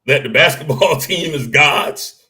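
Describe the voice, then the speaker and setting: A man with a deep, bassy voice, co-hosting a casual sports talk show and arguing his points with animated, salty energy. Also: a little slow. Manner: animated, argumentative, swears casually.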